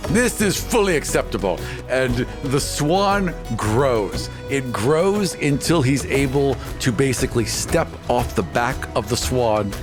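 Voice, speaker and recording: A man improvises narration as a player in a tabletop game. His casual delivery is animated in pitch, and music plays quietly underneath. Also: slow, hardly any pauses.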